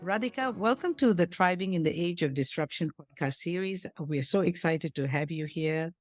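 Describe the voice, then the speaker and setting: A man's voice: a little high, normal speed, faint music behind. A man who grew up in Singapore, hosting a podcast interview with friendly, welcoming questions. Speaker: podcast host. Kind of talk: casual interview chat.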